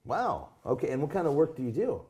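A man's voice: a little high, sing-song, fast, dark tone. An American man from the Midwest speaking as the host of an interview podcast. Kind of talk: casual interview chat, with a read-out intro.

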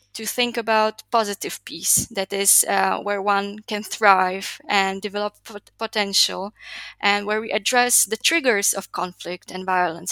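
A young woman answers interview questions in a bright, forward voice with some creak at the edges. Her intonation stays fairly even, and she phrases things earnestly and articulately, in formal vocabulary. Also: mid-range voice, dry recording. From Slovakia. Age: 20s. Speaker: podcast guest, youth leader.

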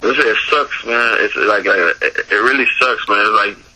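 Haitian-accented musician guest, low-ish and very bright voice, loose rambling talk, tinny phone-line sound. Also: male voice, moderate pace, slightly rough. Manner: loose, repeats words, lots of filler asides. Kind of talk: casual interview chat.

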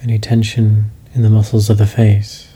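A man leading a guided meditation, speaking slowly and calmly in soft instructions. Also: fairly low pitch, husky. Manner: slow, calm, soft-spoken instructions.

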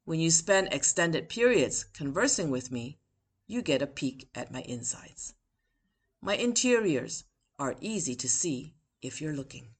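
A woman with a notably low-pitched voice reads her own personal essay aloud. She takes it at a measured, deliberate pace, pausing for emphasis between phrases. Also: big pitch swings, slightly rough. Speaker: author and podcast host.

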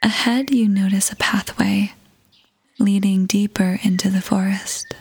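Female meditation guide: soft breathy near-whisper, very slow, even pitch, gentle and soothing. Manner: soothing, gentle, measured with long pauses. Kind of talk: guided meditation, scripted narration. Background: music.

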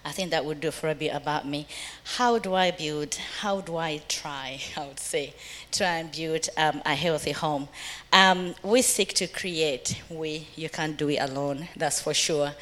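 A woman's voice: low voice, unhurried, a bit bright. A middle-aged woman from Uganda speaking earnestly to a church audience about family life. She laughs often and quotes scripture.

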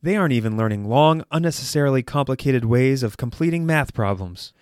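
A man's voice: mid-range voice, animated, a little fast, darkish tone, clear, resonant tone, no room sound, clean studio sound. A man hosting a podcast, reading a satirical news report in a mock-serious newsreader delivery. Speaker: podcast host.

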